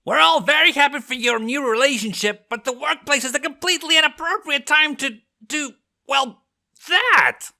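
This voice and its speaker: A man performs a prudish male imp in a story reading. The voice leaps high and loud, flustered and scandalised, with prim, stammering protest. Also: normal speed, vocal fry, full character voice. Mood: scandalised shouting. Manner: shouting, stammering formality.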